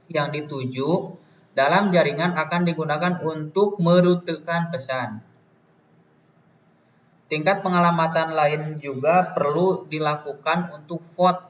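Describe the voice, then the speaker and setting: Slow young man, roomy distant recording. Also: fairly high pitch, a bit bright. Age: young adult. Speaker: university student presenter. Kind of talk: student presentation, explaining from prepared notes. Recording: dull, distant, roomy, faint noise.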